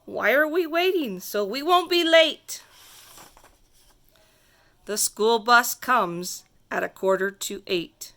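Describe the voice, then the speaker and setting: A woman reading a rhyming picture book aloud to children in a theatrical, sing-song way with drawn-out emphasis. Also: fairly high pitch, lively intonation, bright, forceful tone. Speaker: storybook reader.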